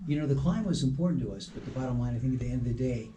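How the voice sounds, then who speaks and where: A man's voice: mid-range voice, lively intonation, racing, a crowd in the background. A man giving informal remarks as a visitor, emphatic and repeating his points. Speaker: visiting donor. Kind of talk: informal spoken remarks to camera. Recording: distant mic with clear background noise.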